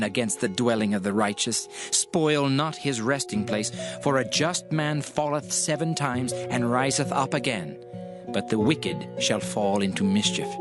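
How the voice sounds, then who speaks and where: A man giving a dramatized scripture reading, solemn, measured and emphatic in his delivery. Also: mid-range voice. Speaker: scripture narrator. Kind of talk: dramatized scripted Bible reading in archaic English. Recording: music playing under the voice. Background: music.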